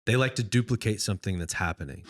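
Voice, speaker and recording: A podcast host with a low-pitched man's voice who keeps up a talkative, slangy flow of chat. He sounds keen and relaxed, like he is talking shop with a friend. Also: fast talker. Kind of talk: casual chat.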